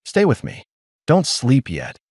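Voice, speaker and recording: A fierce alien mother, desperate to protect her child, as a male narrator puts her on in an audiobook. The voice sits well above the narrator's own, louder and pushed, strained and resolute. It is a dry, close read. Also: mid-range voice, wildly swooping pitch, slow pace, no room sound, pitched above the performer's own voice, exaggerated voice. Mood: pleading.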